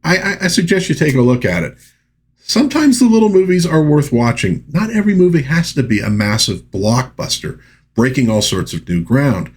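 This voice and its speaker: A man's voice: mid-range voice, very animated, normal speed, breathy edge. A man hosting a movie review, recapping and commenting in a conversational, informal and folksy way. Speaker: film review host.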